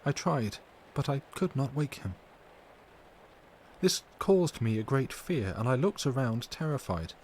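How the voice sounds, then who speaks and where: A man voicing a distressed but tender and brave young wife, recounting in an earnest, measured, formal way for an audiobook. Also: medium pitch. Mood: troubled recounting. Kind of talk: dramatic audiobook character reading. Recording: steady background noise.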